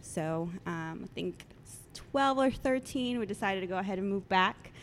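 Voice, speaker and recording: A woman in her late twenties who grew up in El Salvador with Spanish as her first language, chatting as a guest with many soft ums. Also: medium pitch, lively intonation, normal speed, slight background noise. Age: 20s. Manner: soft-spoken, many ums, laughs often. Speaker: guest photographer. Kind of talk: casual interview chat.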